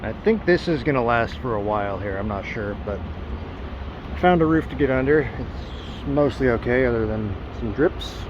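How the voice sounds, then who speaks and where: A man's voice: mid-range voice, animated, normal speed. Rambling man, worn voice, street noise. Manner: rambling, self-doubting, many ums and restarts. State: voice worn from singing. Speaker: travel vlogger. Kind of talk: casual vlog talk to camera. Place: outdoors by roads and stores. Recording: slightly dull outdoor camera audio with clear street noise.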